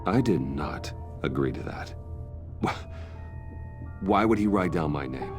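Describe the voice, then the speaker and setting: A wealthy, secretive businessman in a video game drama. His voice is low and swings widely in pitch, with a terse, defensive manner, over music. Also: lively intonation, measured pace. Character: guarded wealthy businessman with a secret hero life. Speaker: voice actor in a story video game. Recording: dialogue over clear background music.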